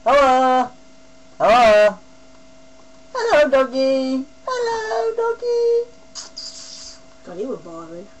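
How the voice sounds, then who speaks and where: A man's voice: extremely high pitch, very animated, takes it slowly, pauses often. A young man chatting casually during a prank. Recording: amateur recording with faint hum.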